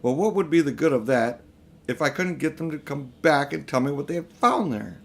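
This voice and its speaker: A man's voice: a little high, expressive, brisk, gravelly. A man voicing a pompous, vain, selfish elderly magician uncle in a storybook reading, with a grandiose, self-important manner. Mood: impatient. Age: older. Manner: grandiose, self-important, indignant when challenged.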